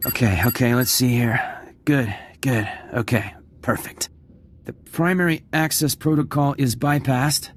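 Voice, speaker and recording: A man's voice: medium pitch, a little slow, close to an everyday voice. A man voicing a nervous, eager computer slicer in a video game, flustered and stammering as he mutters to himself. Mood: absorbed muttering.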